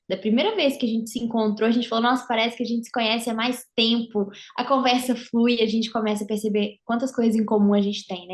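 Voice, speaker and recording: A young woman from Argentina who grew up in Brazil, speaking with a Mineiro accent as a guest in a relaxed interview, reflective and sprinkled with fillers. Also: medium pitch. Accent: Mineiro (Minas Gerais) Brazilian Portuguese. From Argentina, raised in Brazil. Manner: warm, reflective, with frequent filler "é" and "né". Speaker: guest, social entrepreneur. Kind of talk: casual interview conversation.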